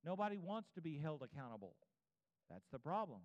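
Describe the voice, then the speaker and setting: A man's voice: on the high side, very animated, a little fast. Preacher in his fifties, muffled echoing hall. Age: 50s (52). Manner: earnest, repetitive exhortation, direct address to congregation. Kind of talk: evangelical church sermon with altar call. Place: church service before a congregation. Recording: muffled, reverberant large room.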